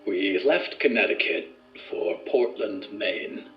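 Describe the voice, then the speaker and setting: A formal earnest test subject, slow talker w wide swings in pitch, echoey room, music behind, audio drama. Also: male voice, expressive, muffled recording, exaggerated voice. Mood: dreamy, recollecting. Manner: formal, measured, deliberate wording. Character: formal, earnest male research subject called Green.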